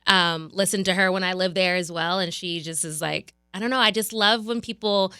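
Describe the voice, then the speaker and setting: Young woman, radio personality, casual chatter, fillers, restarts, bright, dry studio mic. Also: lowish voice, fairly even intonation, normal speed, smooth, dry recording. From Maryland. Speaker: radio personality, podcast guest. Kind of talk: casual chat.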